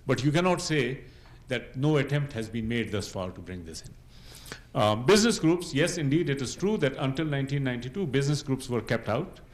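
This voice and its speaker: A man's voice: medium pitch, expressive. Middle-aged man explaining as a panelist. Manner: measured, explanatory, often checks understanding with tag questions. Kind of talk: explanatory panel talk, unscripted. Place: university lecture room, seated at a panel table.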